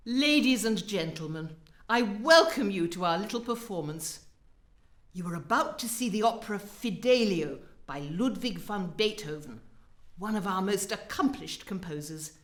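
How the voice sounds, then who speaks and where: A woman's voice: medium pitch, expressive, normal speed, lightly acted. A woman playing an imperious, exacting opera company director in a radio play, speaking formally and precisely with pomp. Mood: gracious, formal.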